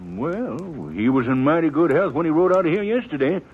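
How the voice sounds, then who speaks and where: Excitable male frontier banker, highish animated voice, American folksy bluster, old phone-like radio sound. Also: fairly quick, slightly rough, full character voice. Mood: astonished. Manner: folksy drawl, blustery, stammering. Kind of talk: 1950s radio western acting. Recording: muffled, noisy 1950s radio recording.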